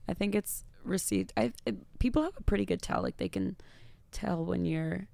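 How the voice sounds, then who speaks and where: Raspy, gravelly-voiced woman. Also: lowish voice, big pitch swings, normal speed, warm tone, dry recording. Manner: open, reflective, heavy use of fillers. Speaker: podcast guest. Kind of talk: casual podcast chat.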